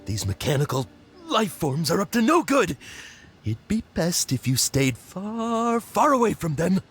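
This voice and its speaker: A man's voice: high voice, sing-song, a little slow, fairly bright tone, slight background noise, exaggerated voice. A man voicing a fearful, distrustful herb gatherer in a video game, agitated and stammering. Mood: agitated, bitter. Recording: game music behind.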